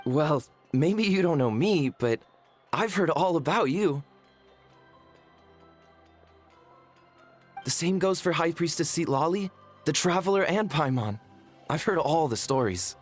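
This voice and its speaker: A man's voice: a little high, expressive, moderate pace, faint music behind. A man playing an earnest, self-deprecating and polite young man in a video game. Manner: earnest, hesitant, humble. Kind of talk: video game cutscene voice acting.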